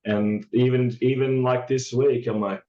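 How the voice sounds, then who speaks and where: A man with a hoarse, raspy voice, talking in a halting, reflective way as a podcast guest, full of fillers and restarts. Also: medium pitch, steady tone, highs cut off. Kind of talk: casual reflective chat.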